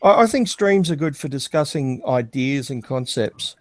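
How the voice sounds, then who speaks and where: A man hosting a casual online stream and talking bluntly. He often repeats words as he begins a sentence. His voice sits at an ordinary mid pitch, and it sounds dry and close. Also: animated, moderate pace, no room sound. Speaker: stream host.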